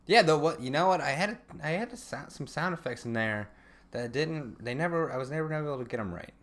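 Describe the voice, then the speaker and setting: A man's voice: fairly high pitch, expressive, fairly quick, a bit bright. An American man co-hosting a podcast, chatting casually in a laid-back, self-effacing way. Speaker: podcast co-host. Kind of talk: casual chat.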